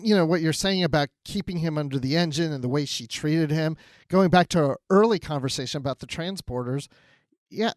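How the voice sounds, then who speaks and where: A man's voice: fairly high pitch, no room sound, studio quality. A man co-hosting a podcast in a casual, chatty review style, often voicing imagined quotes as he talks. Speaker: podcast co-host.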